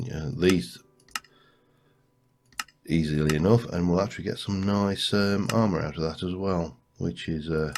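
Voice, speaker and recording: A man's voice: low-pitched, darkish tone, a little hiss or hum. A man with a congested, cold-stuffed voice, thinking aloud as he hosts a casual gameplay commentary. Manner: thinks aloud, muttering to himself. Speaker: let's play host.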